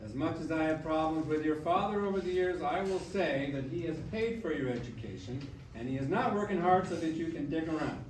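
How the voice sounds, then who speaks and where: A distant, roomy stage recording of a man acting as a wry old grandfather. His voice is high for a man, and he scolds in a folksy, blunt way, protective underneath. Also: lively intonation, a little fast, few pauses, slight background noise, close to an everyday voice. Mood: wry, firm. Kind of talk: staged reading of a musical. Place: echoey room, staged reading. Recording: distant echoey stage mic, piano behind.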